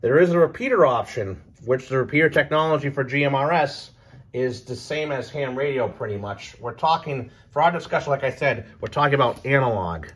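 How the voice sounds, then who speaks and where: A man's voice: medium pitch, expressive. Male hobbyist presenter, mid-range voice, unscripted and conversational, stop-start delivery with trailing phrases. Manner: halting, repeats himself, trails off. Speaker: presenter of a radio hobby video. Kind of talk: casual unscripted explainer.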